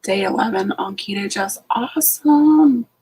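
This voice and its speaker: A woman's voice: medium pitch, expressive, unhurried, warm tone, a little hiss or hum. A sleepy woman hosting a late-night casual live stream from home, greeting each viewer by name. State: sleepy, just out of bed. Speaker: homestead channel host.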